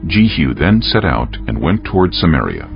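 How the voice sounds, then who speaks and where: A man giving solemn, measured, scripted dramatic narration of scripture as a storyteller. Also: extremely low pitch, wildly swooping pitch, gravelly. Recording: dull audio with music underneath.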